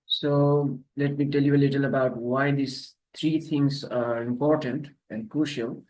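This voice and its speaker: A man with a mid-pitched voice hosts an online webinar. He lays out each topic in a calm, measured, explanatory way. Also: poor audio. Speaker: webinar host. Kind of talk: webinar presentation.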